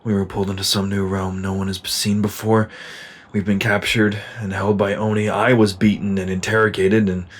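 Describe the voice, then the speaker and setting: A man's voice: fairly low pitch, level tone, moderate pace, lightly acted. A man playing an earnest male soldier in a sci-fi audio drama, casual and plain-spoken. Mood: downcast. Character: earnest, troubled male soldier, reluctant squad leader.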